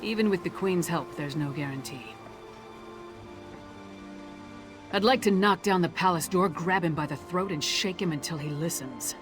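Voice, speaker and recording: A woman's voice: fairly low pitch, fairly quick, over music, natural voice. An actress playing a blunt, hot-tempered but dutiful warrior woman in a video game, forthright and plain-spoken. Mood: determined. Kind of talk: scripted video game dialogue.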